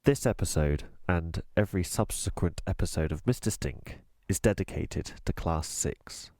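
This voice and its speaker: A man reading a children's storybook aloud as a teacher would, with expressive, deliberate, emphatic delivery. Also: sits low, no echo. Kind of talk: scripted storybook narration.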